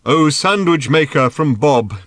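Old elder voiced by a man, grave and pompous, slow solemn archaic delivery, dry close sound. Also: fairly high pitch, expressive, fairly bright tone, dry recording, voice pushed up. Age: older.

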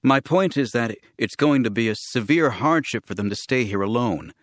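Man playing a careful, uneasy ambassador, lively intonation. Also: mid-range voice, moderate pace, no room sound, studio quality, close to an everyday voice. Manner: careful, diplomatic, earnest. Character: nervous, polite male ambassador, an ordinary man bluffing.

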